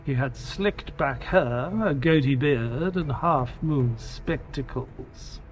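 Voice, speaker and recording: An actor playing an earnest detective's companion and narrator in a scripted audio drama. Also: male voice, mid-range voice, slow, soft background music, close to an everyday voice. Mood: storytelling.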